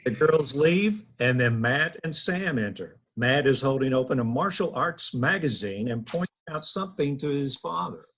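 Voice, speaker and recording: A man with a husky voice reading scripted narration of stage directions. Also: medium pitch, expressive, moderate pace, hardly any pauses, a bit bright. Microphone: video call.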